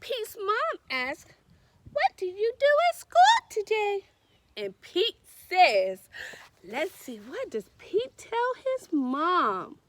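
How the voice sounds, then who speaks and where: A woman's voice: extremely high pitch, huge pitch swings, takes it slowly, a little hiss or hum. A woman reading a children's storybook aloud in a sing-song, encouraging way, with emphatic repetition and questions to young listeners. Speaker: read-aloud storyteller, librarian.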